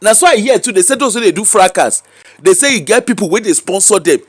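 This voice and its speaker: Nigerian male commentator, high bouncy voice, teasing and rhetorical. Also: fast, no room sound, clean studio sound. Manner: animated, mocking, rhetorical questions. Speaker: social media commentator. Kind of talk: casual commentary in pidgin. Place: UK-based.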